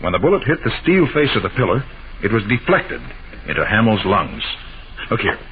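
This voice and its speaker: A confident master detective in an old radio mystery, with a low voice and animated swings of pitch. He lays out deductions and questions methodically, on a dull, noisy vintage broadcast. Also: fairly quick. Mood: assured, explaining. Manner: assured, methodical explaining and questioning. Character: confident, sharp-minded male master detective.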